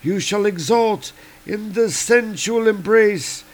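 A man voicing a sinister ancient male serpent god, speaking in a slow, crooning, theatrical way. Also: high-pitched, voice pushed up. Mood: silkily menacing.

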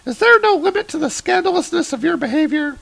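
A man voicing a haughty, indignant enchantress woman in a creaky falsetto, with grand archaic phrasing, reading a comic aloud. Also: animated, quick pace, fairly bright tone, full character voice.

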